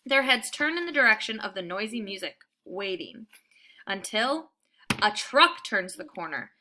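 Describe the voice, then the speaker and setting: A woman reading a children's book aloud as storyteller, with expressive, dramatic and deliberate phrasing for young listeners. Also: medium pitch, background noise.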